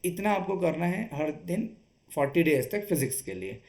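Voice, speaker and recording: A man with a voice pitched a little higher than most men. He talks like a coach laying out a study strategy, explaining each step and punctuating it with short check-in tags. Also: sing-song, moderate pace, gravelly, a little mellow. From Chhattisgarh, India. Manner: explanatory, often checks in with short tag questions. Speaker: exam coach. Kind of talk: instructional exam-strategy talk.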